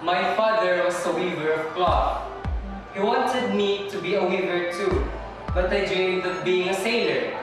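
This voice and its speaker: Young man acting slow-spoken sailor in echoey hall. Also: high voice, over music. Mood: earnest. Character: determined male sailor Christopher Columbus, dreaming of riches. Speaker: student performer in a readers' theater. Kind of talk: readers' theater recital. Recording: echoey hall from far off, noisy.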